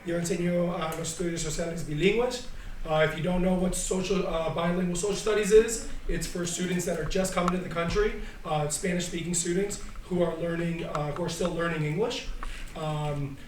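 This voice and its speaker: High-voiced male teacher, echoey hall. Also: steady tone, brisk. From United States. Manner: hesitant, switches between languages. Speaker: high school social studies teacher. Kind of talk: informal bilingual self-introduction. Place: school assembly stage. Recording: echoey hall, distant mic, clear noise.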